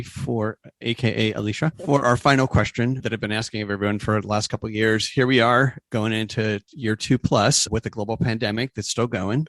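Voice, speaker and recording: A man's voice: lowish voice, fairly bright tone, no echo. A man interviewing guests as a podcast host, in a conversational style with recaps and questions.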